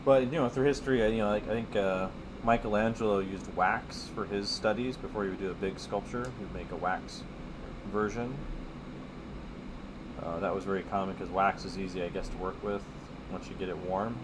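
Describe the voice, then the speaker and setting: Deep-voiced man chatting while drawing. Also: steady tone, brisk, noisy background. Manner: chatty and digressive, with many fillers. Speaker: art teacher streaming live. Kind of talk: casual rambling chat while drawing.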